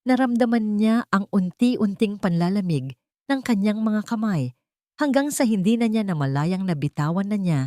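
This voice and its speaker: A woman with a Filipino accent reading scripted, dramatic love-story narration in a measured storytelling manner. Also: mid-range voice, darkish tone, dry recording, clean studio sound.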